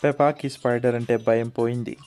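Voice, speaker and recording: A man's voice: mid-range voice, fairly even intonation, brisk, a little mellow, clean tone. A man narrating a children's cartoon story as its storyteller.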